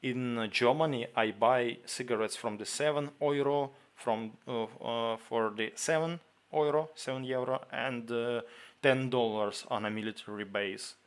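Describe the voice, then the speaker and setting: A man with a Ukrainian accent, a native Ukrainian speaker, hosting a casual livestream Q&A, reading viewer questions aloud and answering in halting English. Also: medium pitch, smooth. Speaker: livestream host answering chat.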